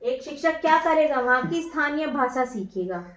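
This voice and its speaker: A woman's voice: high voice, expressive, moderate pace, a bit bright. A woman teacher dictating exam revision notes, repeating each phrase word by word and asking herself questions, then answering them. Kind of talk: slow dictation-style lesson. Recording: echoey room, voice from far off.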